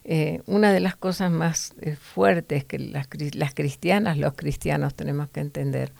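A woman's voice: deep voice, measured pace, creaky, darkish tone, dry recording. A woman teaching from the Bible to other women in an earnest, preachy way, with fillers and restarts.

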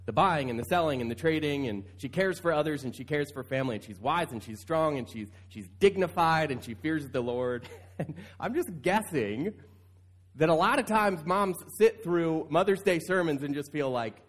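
A male pastor with a somewhat high voice delivers a sermon as if chatting. He keeps it informal and circles back over key phrases to stress them. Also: lively intonation, moderate pace. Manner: informal, repeats phrases for emphasis. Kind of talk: conversational sermon. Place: church service, speaking to congregation.

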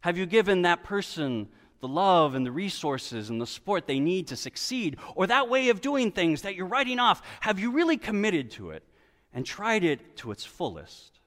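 A gentle gardener played by a male preacher pleads patiently in a sermon parable. The voice is high and very lively in pitch, coaxing and reasonable. It rings with long hall reverb. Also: normal speed. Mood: gently challenging. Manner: coaxing, hesitant opening, reasonable. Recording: reverberant hall, church-like echo.

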